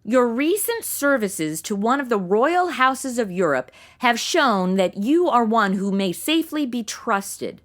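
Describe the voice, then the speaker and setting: A woman playing an irreverent, slangy American sidekick in a comedy audio drama, expressive and flippant. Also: fairly high pitch, measured pace, hardly any pauses. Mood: sarcastic.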